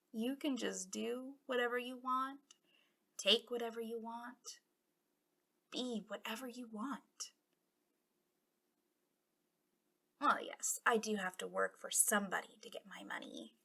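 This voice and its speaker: A woman voicing a bitter, taunting female villain, a fallen hero, in an ASMR roleplay, breathy and often dropping into whispers, with a mocking, conspiratorial drawl. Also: on the high side, moderate pace, bright, forceful tone, heavily acted.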